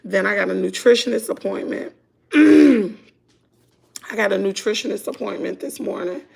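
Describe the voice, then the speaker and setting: A middle-aged woman with a Southern US accent, chatting hoarsely to her viewers at home on a phone livestream. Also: medium pitch, animated, moderate pace, darkish tone. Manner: chatty, laughing, drawling, talks to "y'all". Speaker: vlogger on a morning livestream. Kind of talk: casual chat with viewers. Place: at home indoors.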